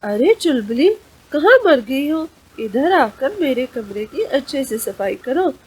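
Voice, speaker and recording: A woman's voice: high voice, big pitch swings, a little slow, darkish tone, husky, exaggerated voice, poor audio. A woman voicing a bossy, vain young sister chick in a cartoon storybook tale. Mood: bossy, scolding.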